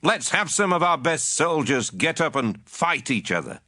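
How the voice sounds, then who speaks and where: A man's voice: on the high side, very animated, moderate pace, pushed and bright, heavily acted. An actor playing an urgent, pleading army general in a Bible audio drama, projecting his lines. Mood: challenging. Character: embattled army general shouting across the battlefield, urgent, pleading.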